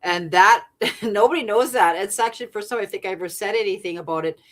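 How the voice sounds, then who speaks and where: A songwriter woman from Saskatchewan with a deep voice and a natural vibrato, chatting earnestly as a guest, rambling with fillers and restarts. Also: fast talker, a bit bright. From Saskatchewan, Canada. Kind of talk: casual interview chat.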